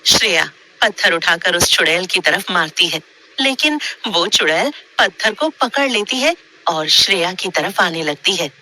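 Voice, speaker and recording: A woman's voice: lowish voice, expressive, unhurried, sharp tone, low-quality recording. A woman narrating a scripted horror story as a storyteller.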